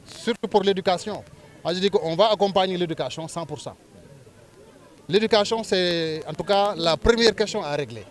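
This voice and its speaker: A Senegalese-accented man with a somewhat high-pitched voice speaks as a local politician in an interview. He makes his pitch earnestly, stressing points by repeating phrases. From Diourbel, Senegal. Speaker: local politician and civil servant.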